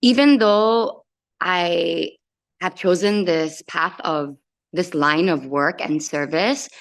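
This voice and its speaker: A woman's voice: on the low side, takes it slowly. A woman from Indonesia speaking conversationally as a coach, giving a personal testimonial. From Jakarta, Indonesia. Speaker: transformational soul coach.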